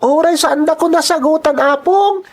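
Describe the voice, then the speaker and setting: A young Ilocano boy in a radio drama, voiced by a woman, with a high-pitched voice. He is polite and caring, with a wide-eyed air of surprise. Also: moderate pace, lightly acted. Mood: surprised, caring.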